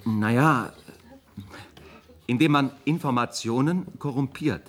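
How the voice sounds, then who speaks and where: A man plays a calculating professor with a mid-pitched voice. He lectures precisely and coolly, with clinical detachment. The style is science fiction radio drama. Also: natural voice. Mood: clinical, detached.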